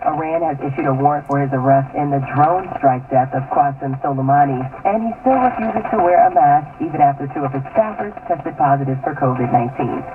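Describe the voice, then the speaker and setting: A man's voice: a little high, far from the mic. A man delivering a scripted radio report as a news correspondent. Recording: tinny, noisy AM radio aircheck.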